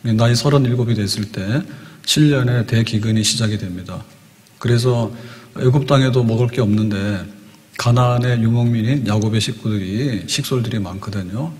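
An older man preaches from a church pulpit into a podium microphone, his voice trailing long hall reverb. He explains step by step like a storyteller, often asking rhetorical questions. His pitch sits in an ordinary middle male range. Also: normal speed, a little mellow. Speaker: pastor. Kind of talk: sermon.

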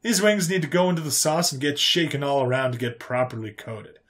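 A man voicing a cheerful, folksy human interrogator in a narrated sci-fi story, calm and teasing in colloquial phrases. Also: mid-range voice, fairly quick, close to an everyday voice. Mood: folksy, enthusiastic.

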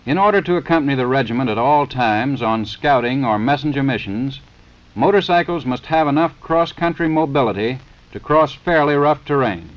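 An American man reading a formal 1930s scripted narration for a training film in a measured, matter-of-fact, instructional manner. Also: mid-range voice, expressive. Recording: dull, lo-fi 1930s film soundtrack.

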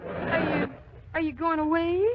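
An actress playing a flustered, excitable young fiancée in a 1940s radio comedy, with stammering speech. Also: female voice, very high-pitched, unhurried, noisy background. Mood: curious, softening. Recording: muffled 1940s radio recording.

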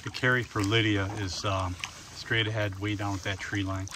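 Solo outdoorsman in his forties, low-voiced, mutters and cusses to the camera; action-cam audio with heavy wind noise outdoors. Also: level tone, normal speed. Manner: muttering, matter-of-fact, swears under his breath. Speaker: solo canoe camper. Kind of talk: first-person outdoor vlog narration. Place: outdoors on a wilderness pond shore and in a canoe. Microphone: action camera clipped at chest or held. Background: wind and water.

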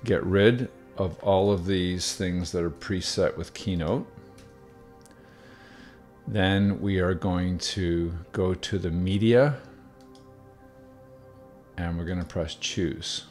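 A man calmly explaining software steps one by one in a screen-recorded tutorial, with the odd filler. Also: low-pitched, slow, pauses often, faint music behind. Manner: relaxed step-by-step explaining with fillers. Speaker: video tutorial host.

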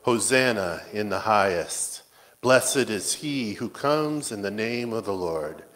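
A man serving as pastor, reciting a communion liturgy in a measured, solemn way in a church. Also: lowish voice, animated, a bit bright. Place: church sanctuary.

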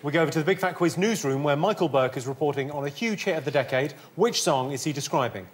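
A man's voice: fairly high pitch, fast talker. A man in his forties hosting a comedy panel quiz show, quipping between questions. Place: large TV studio before an audience. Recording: TV studio with loud audience noise.

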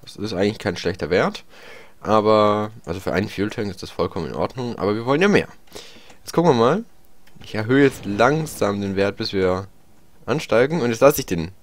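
German gamer with a slight cold. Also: male voice, fairly low pitch, animated, fairly quick. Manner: chatty, hesitant with many fillers. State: slight cold, clearing throat. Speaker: gaming YouTuber. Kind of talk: tutorial gameplay commentary.

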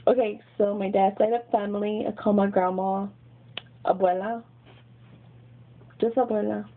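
A woman's voice: medium pitch, level tone, moderate pace. A giggly young woman with a Mexican American accent, chatting casually into a webcam in a small bedroom. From Los Angeles, grew up in Texas. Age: young adult. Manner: giggly, chatty, repeats words. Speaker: vlogger. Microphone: webcam built-in mic. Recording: tinny, lo-fi webcam audio.

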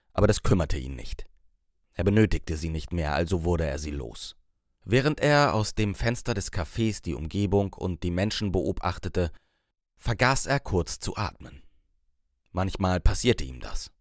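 Casting note: male audiobook narrator, lower register, dry close studio sound. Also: a little mellow, no echo.

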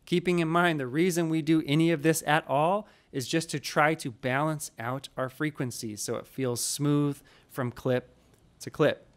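A man in his thirties teaching audio editing in a relaxed, explanatory way, recorded on a studio microphone in a small home studio. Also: on the high side, moderate pace, smooth. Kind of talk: screen-recorded tutorial. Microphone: studio mic in frame, wearing headphones.

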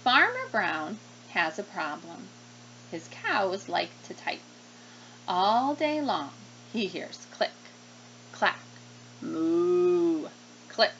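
A woman in her thirties reading a picture book to children like a teacher, expressively and with questioning asides. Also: lowish voice. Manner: animated, questioning, child-directed. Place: small room indoors at desk. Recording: slightly dull webcam sound, faint noise.

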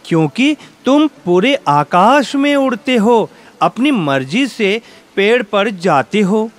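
A man's voice: high voice, heavily acted. A man voicing a sad captive peacock longing for freedom in a fable, mournful and earnest.

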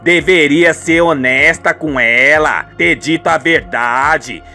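A man's voice: a little high, sharp tone. A reproachful, moralising family man from a radio soap, acted by a male narrator. He scolds frankly, with music faintly behind him.

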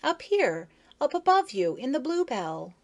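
A woman voicing a curious, kind-hearted young female bee, bright and eager. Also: high-pitched, very animated, measured pace, pitched above the performer's own voice, full character voice.

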